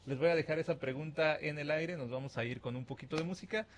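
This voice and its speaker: A man's voice: on the high side, quick pace, slight background noise. A man from Mexico with a Mexican accent, hosting a reflective radio-style talk at a studio mic and acting out imagined dialogues with fillers. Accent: Mexican Spanish. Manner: conversational, acts out imagined dialogues with filler words. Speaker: radio-style podcast host. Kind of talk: reflective monologue to listeners. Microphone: studio microphone.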